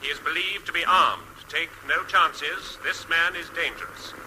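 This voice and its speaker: An actor playing a solemn police dispatcher reading bulletins over the radio in a comedy. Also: male voice, a little high, moderate pace, bright, forceful tone. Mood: solemn, urgent. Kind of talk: formal announcement in radio comedy. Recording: noisy crackling police radio sound.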